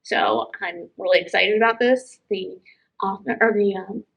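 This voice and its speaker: A woman's voice: medium pitch, normal speed, vocal fry, slightly rough, highs cut off. A woman chatting casually and enthusiastically as she recommends books as a co-host. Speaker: library book show co-host. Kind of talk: casual book recommendation chat.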